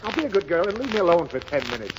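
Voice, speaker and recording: A man's voice: sits high, very animated, very fast. Blustering, exasperated father, wildly swooping delivery. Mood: exasperated, impatient. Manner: flustered, impatient scolding. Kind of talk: 1930s radio comedy acting. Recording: noisy, dull 1930s radio broadcast recording.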